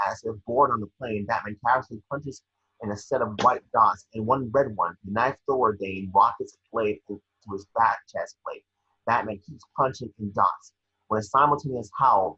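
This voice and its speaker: A man reading scene descriptions at an amateur table read, with a husky voice. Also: lowish voice, bright, forceful tone, muffled recording.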